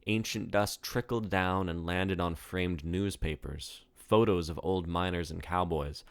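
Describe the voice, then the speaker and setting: A man's voice: low voice, fairly even intonation, measured pace, clear, resonant tone, no room sound. A man reading a story aloud as its author, in scripted narration.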